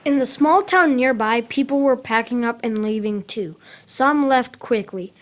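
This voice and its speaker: A woman's voice: a little high, unhurried, lightly acted. A young female narrator reading scripted storytelling for a school radio drama. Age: child or young performer.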